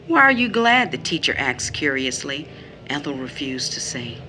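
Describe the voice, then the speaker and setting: An actress playing a bitter, wounded mother in an audio drama, phrasing deliberately as she recounts her child's mistreatment. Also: sits low, big pitch swings, sharp tone, a little hiss or hum, full character voice. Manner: deliberate phrasing, quotes others in mimicked tones.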